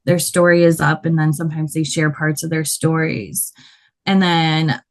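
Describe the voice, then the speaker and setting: A woman guest talks through her story with a loud, animated delivery and frequent laughter. Her voice sits a little low for a woman. She speaks in a relaxed, conversational interview manner. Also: moderate pace. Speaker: guest, body painter.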